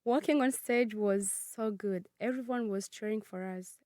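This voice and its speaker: A nineteen-year-old woman from Congo who grew up in Kenya, reading her personal narrative in a measured, earnest way. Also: mid-range voice, darkish tone, no room sound, studio quality. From Congo, raised in Kenya. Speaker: student narrator.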